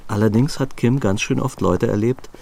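A man's voice: mid-range voice, normal speed, creaky, a little mellow. A man reading scripted story narration for a German radio play.

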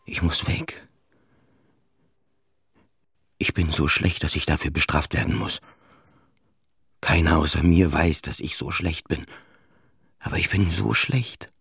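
A despairing father in a radio play, with a very low voice. He confesses in short, halting phrases that circle back on themselves, intimate and full of self-loathing. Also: husky, no room sound, studio quality, exaggerated voice. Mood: self-loathing, obsessive. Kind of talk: intimate first-person radio play monologue.

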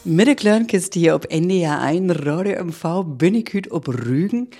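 A woman with a northern German Low German accent, speaking as a radio reporter in a conversational interview. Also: lively intonation, measured pace, warm tone, faint music behind.

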